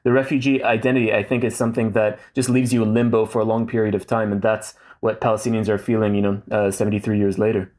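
A man's voice: lowish voice, little pitch movement, fast, hardly any pauses, a little mellow. A man in his thirties, a guest in a casual interview, speaking thoughtfully with many fillers and restarts. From Saudi Arabia.

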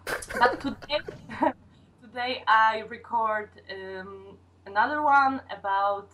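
A young woman with a Polish accent, giving casual interview answers in halting English as she searches for words. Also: on the high side, expressive, sharp tone. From Poland. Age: young adult. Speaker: podcast guest, sex education video maker. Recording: distant mic with faint background noise.

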